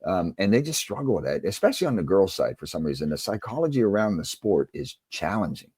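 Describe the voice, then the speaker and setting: A man's voice: sits low, sing-song, quick pace, darkish tone, husky, no echo, studio quality. A man speaking as a coach and interview guest, answering conversationally in an earnest, emphatic way.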